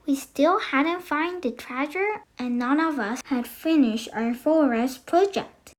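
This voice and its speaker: Young girl, storybook reader, high-pitched and slow, deliberate delivery. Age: child, about 8. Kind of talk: storybook read-aloud.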